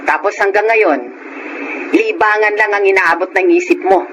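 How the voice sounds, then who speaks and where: A man's voice: high-pitched, steady tone, moderate pace, a bit bright. A Filipino man playing a reproachful friend in a radio drama, questioning and chiding. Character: reproachful working friend lecturing a lazy pal. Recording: clearly noisy radio drama audio.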